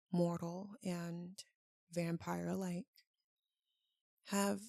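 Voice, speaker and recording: Woman playing a slow, gravelly, melancholy vampire lover. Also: mid-range voice, warm tone, no echo, studio quality. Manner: hesitant, halting, earnest, with long dramatic pauses. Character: tender, tormented female vampire lover, reluctant and melancholy. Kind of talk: slow, intimate ASMR roleplay.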